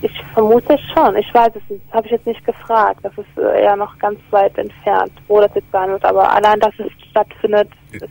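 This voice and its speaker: A twenty-year-old woman calling in to a radio show by phone, talking hesitantly and softly with many fillers. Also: medium pitch, level tone, brisk, creaky. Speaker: caller. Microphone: telephone. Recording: tinny phone line.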